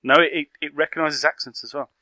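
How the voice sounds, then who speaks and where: A man with a very bright voice chimes into a podcast chat. He makes short, teasing remarks. The sound is dry and close. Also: a little high, steady tone, fast talker, no room sound. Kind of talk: casual chat.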